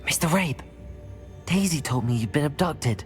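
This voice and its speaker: A man's voice: on the high side, lively intonation, normal speed, soft background music, natural voice. An actor voicing a polite, curious young male student in a video game cutscene. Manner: polite, inquisitive.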